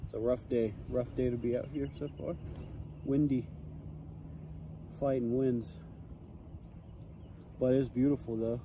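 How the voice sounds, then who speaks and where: A man's voice: mid-range voice, steady tone, measured pace, long pauses, dark tone. A young man chatting casually as he fishes from a kayak on a lake, talking aloud about his day, recorded on a chest-mounted action camera. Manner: laid-back, chatty, thinking aloud. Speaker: fishing vlogger. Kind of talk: casual outdoor vlog. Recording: faint wind and water noise.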